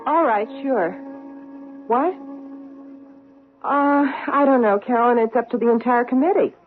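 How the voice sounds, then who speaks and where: An actress playing a guarded, proper married society woman with an American accent, brisk and defensive in a radio mystery drama. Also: a little high, faint music behind. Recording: muffled narrow-band old radio broadcast.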